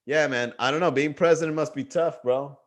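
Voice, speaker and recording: A man's voice: a little high, a little fast. A man with a Miami accent hosting a comedy livestream, riffing loosely and joking with his chat. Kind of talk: casual livestream stand-up riff.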